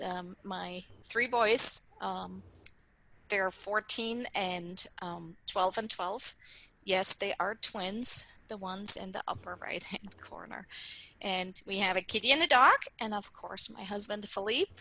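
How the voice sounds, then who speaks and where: A Swiss woman in her forties, a native German speaker, talks through a webinar with a bright, clear tone. She is chatty and friendly, and her sentences wander with plenty of ums along the way. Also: mid-range voice, rough recording. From Switzerland. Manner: chatty, friendly, many fillers. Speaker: PR consultant guest. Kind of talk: webinar interview.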